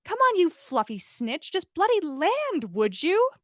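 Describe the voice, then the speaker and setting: A woman's voice: very high voice, big pitch swings, measured pace, a bit bright, dry recording, clean studio sound, pitched above the performer's own voice, exaggerated voice. A woman voicing an awkward, good-hearted young man who grumbles, in a storybook reading. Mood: irritated. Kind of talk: storybook character dialogue.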